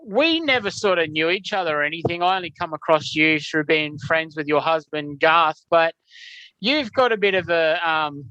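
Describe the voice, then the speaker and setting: A man hosting a casual interview, relaxed and hesitant with ums. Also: fairly high pitch, normal speed, smooth, no echo. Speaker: podcast host. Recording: dull lo-fi sound.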